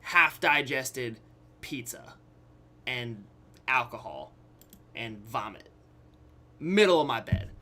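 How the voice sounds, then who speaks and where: A young adult man with a bright, punchy voice tells a candid story in a casual online video style. He acts out bits of dialogue, leaves dramatic pauses and keeps checking in with his listeners. His pitch sits in an ordinary male middle range. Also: lively intonation, slight background noise. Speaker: YouTube storyteller.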